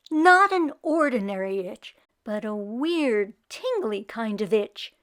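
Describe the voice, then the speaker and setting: A woman storyteller reads aloud for children in a high, very lively voice. She takes her time, leaning on key words with dramatic emphasis. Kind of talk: expressive children's storybook narration.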